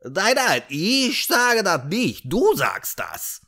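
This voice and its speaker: A man's voice: high voice, wildly swooping pitch, takes it slowly, vocal fry, sharp tone, voice pushed up, heavily acted. A man voicing an anxious, scheming male guinea pig who talks in an urgent, coaxing way. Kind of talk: comic audiobook voice acting.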